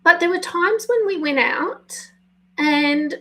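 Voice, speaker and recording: A woman life coach speaking live and unscripted, her voice husky today, talking slowly and choosing her words with care. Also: very high-pitched, animated, a bit bright. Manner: slow, pausing, careful with words, apologetic. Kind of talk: spontaneous live video talk.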